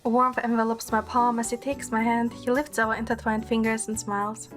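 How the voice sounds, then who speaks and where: First-person narrator: gentle young heroine, female actor, higher register, scripted romance storytelling with faint music. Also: flat delivery, normal speed, natural voice. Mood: gentle, narrating.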